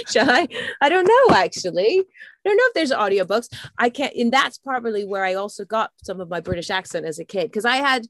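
An American woman in casual podcast chat who also reads a book aloud with animated storytelling. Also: fairly high pitch, normal speed, no echo.

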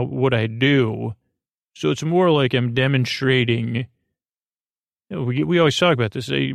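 Slow, meandering man with a gravelly voice. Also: mid-range voice, no echo, clean studio sound. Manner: slow, meandering, soft-spoken. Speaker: sleep podcast host. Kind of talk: rambling sleep podcast monologue.

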